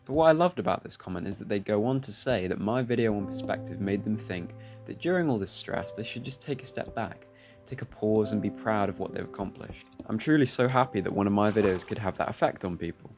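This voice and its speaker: A teenage man speaking calmly and reflectively, commenting over his gameplay. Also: fairly low pitch, a little fast. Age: teens. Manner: soft-spoken, reflective. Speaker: YouTuber. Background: faint music.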